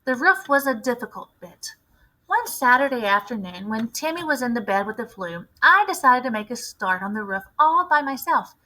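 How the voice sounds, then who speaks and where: A woman reading a storybook aloud like a teacher, with expressive, deliberate phrasing. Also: fairly high pitch, rough recording.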